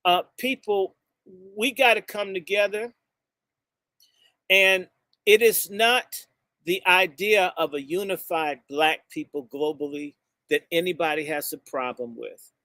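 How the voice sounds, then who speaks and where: Brief: high-voiced, sharp-toned American man, fiery and insulting, working a live crowd. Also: a little slow, no room sound. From United States. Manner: fiery and emphatic, drawing out key words; blunt and insulting, jumps between topics. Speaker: speaker addressing a gathering. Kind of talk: impassioned speech to a live audience.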